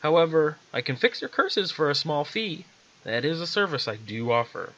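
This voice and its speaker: Wry storybook witch, sassy and casual, bright tone performed by a man, slightly dull recording. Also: on the high side, sing-song, normal speed, pitched above the performer's own voice, exaggerated voice.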